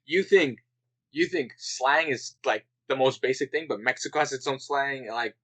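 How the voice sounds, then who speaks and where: A man's voice: mid-range voice, normal speed, sharp tone. A young man co-hosting a podcast in casual chat, talking loosely with many fillers and frequent laughs. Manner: chatty, lots of "like" fillers, laughs often. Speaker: podcast co-host.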